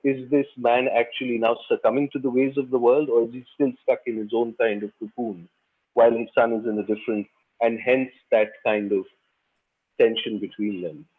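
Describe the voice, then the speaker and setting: A man who grew up on the subcontinent, an actor giving measured, thoughtful interview answers. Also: mid-range voice, fairly even intonation, slightly rough. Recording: tinny phone-like line.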